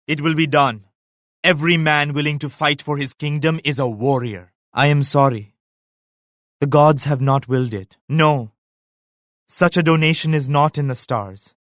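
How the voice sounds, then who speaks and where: An actor playing a devout, solemn emperor and military leader in a strategy game, with measured, grave, pious declamation. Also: male voice, medium pitch, fairly bright tone, dry recording, clean studio sound, lightly acted. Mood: dignified, devout. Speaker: video game character voice actor. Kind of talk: scripted strategy game voice lines.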